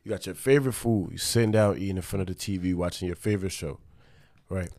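A man with a fairly low, smooth voice chats casually on a podcast. He is easygoing and full of jokes, and he drifts into loose stories. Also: quick pace, darkish tone. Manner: laid-back, joking storyteller. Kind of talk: casual chat.

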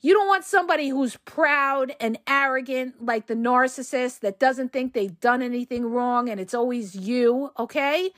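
Hoarse woman giving blunt relationship advice. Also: sits high, moderate pace, hardly any pauses, fairly bright tone, no echo, clean studio sound. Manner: direct, emphatic, keeps checking in with the listener. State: hoarse from a cold. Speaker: relationship coach and podcast host. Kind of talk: casual advice monologue.

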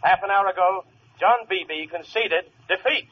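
A man's voice: high-pitched, measured pace. A man playing a formal newscaster in the style of 1940s radio announcing. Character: formal male radio newscaster reading election returns. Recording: muffled old radio broadcast, faint noise.

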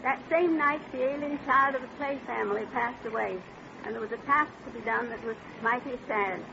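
A woman's voice: on the high side, brisk, vocal fry. An actress playing a wistful pioneer woman, narrating her memories in an old-time radio drama. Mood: wistful, sorrowful. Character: wistful pioneer woman recalling her wagon-train days. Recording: muffled, faintly hissy vintage radio recording.

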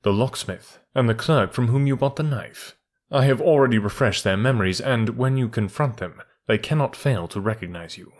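A middle-aged ex-inspector who talks dry and hard, every word firm and exact, as a male narrator reads him. He sounds calm and sure of his grip. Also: medium pitch, moderate pace, lightly acted. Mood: menacing.

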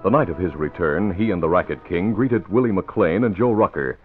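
A man's voice: low voice, brisk. A man narrating a 1940s radio crime serial in a formal, dramatic storytelling delivery. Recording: muffled old radio recording. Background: dramatic music.

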